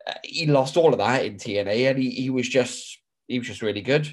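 A man's voice: mid-range voice, a little fast. Bunged-up British man chatting. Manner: chatty, swears casually, says "bro" and "mate". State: stuffed-up nose from hay fever. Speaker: podcast co-host. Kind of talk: casual chat.

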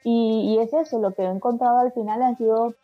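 A woman from Barranquilla with a Colombian Caribbean accent tinged by Spain, slightly husky, telling anecdotes conversationally as an interview guest and acting out quoted exchanges. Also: on the high side, steady tone, a little fast, no echo, narrow, tinny audio, soft background music. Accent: Colombian Caribbean with Spanish influence.

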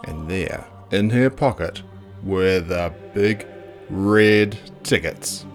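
A narrator reading a children's book aloud in a dramatic, playful, expressive style. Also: sits low, slow, faint music behind. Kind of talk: expressive storybook read-aloud.

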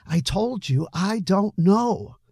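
Guy narrator doing a upset young guy, pitched up, defensive and emotional, storybook drama, dry close sound. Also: animated, moderate pace, gravelly, a little mellow, slightly rough, dry recording, full character voice. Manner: evasive, emotional outbursts. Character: agitated, defensive, tearful young man hiding secrets.

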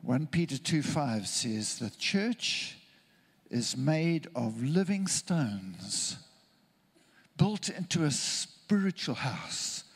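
A man from Zimbabwe, preaching a sermon in an earnest, deliberate way with emphatic repetition. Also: medium pitch, very animated, breathy edge.

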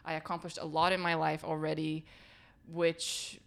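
A woman's voice: low voice, level tone, moderate pace, vocal fry, a bit bright. A woman in her late teens from Brazil, talking thoughtfully and earnestly in a casual chat.

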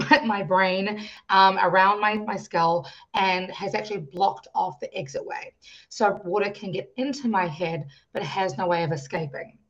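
A woman's voice: mid-range voice, muffled recording. A woman with a New Zealand accent telling her own story as an interview guest, explaining things articulately. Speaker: podcast guest, musician and youth coach. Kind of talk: conversational personal storytelling in an interview.

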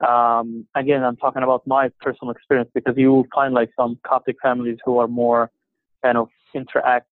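A man's voice: medium pitch, little pitch movement, dry recording. Egyptian-born man on a tinny phone line. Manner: halting, many fillers and restarts. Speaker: interview guest. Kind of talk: casual conversation.

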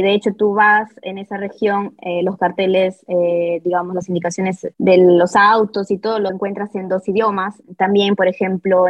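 A woman's voice: lowish voice, no echo. A woman whose first language is Italian, talking as a panel guest and explaining things conversationally. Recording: slightly dull, dry audio.

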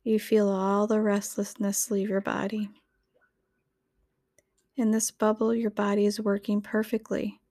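Female narrator for guided relaxation: gentle and soothing, even-pitched, unhurried, measured scripted read. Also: dry recording, studio quality. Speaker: meditation guide.

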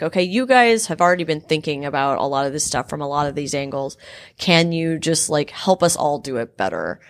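A woman hosting a panel discussion, curious and affirming in a conversational way. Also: low voice. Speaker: host.

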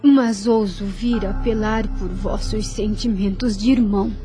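An actress with a Brazilian accent playing a humble, devout nun in a radio drama, formal, deferential and pleading. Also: female voice, mid-range voice, steady tone, unhurried, warm tone, soft background music, close to an everyday voice.

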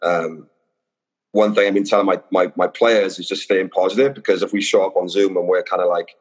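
Deep-voiced Welsh man, reverberant sound. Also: fairly quick, breathy edge. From Wales. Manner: relaxed, friendly, uses fillers. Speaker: guest, youth soccer coach. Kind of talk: casual podcast interview. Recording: long reverb.

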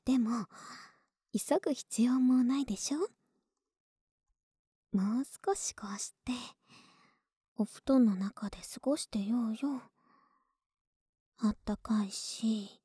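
An actress plays a young woman next door, sleepy and affectionate, coaxing her listener to stay cuddled up. Her high voice is mellow and often drops to a whisper. She speaks slowly and tenderly, very close to the mic, in a soothing ASMR drama style. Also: dry recording, exaggerated voice. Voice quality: soft, breathy, often whispered. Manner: slow, tender, coaxing, drawn-out drowsy words. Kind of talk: Japanese ASMR situation voice drama, intimate and soothing. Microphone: very close ASMR microphone, dry sound.

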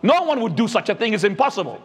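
Imam guy preaching in a mosque, high voice, lots of up and down, echoey. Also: quick pace, husky. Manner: animated preaching, swinging between high and low. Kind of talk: Friday sermon. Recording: echoey hall.

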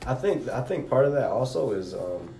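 A man's voice: sing-song, normal speed, creaky, warm tone. A man in a casual talk, rambling with many fillers such as you know. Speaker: conversation participant. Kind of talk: casual conversation. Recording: roomy with faint rumble.

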